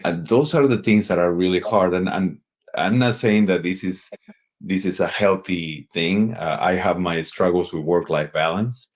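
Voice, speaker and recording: A man's voice: deep voice, moderate pace. A middle-aged man from Peru with a Peruvian accent, a guest giving earnest, reflective advice in a casual interview. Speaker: sales executive guest.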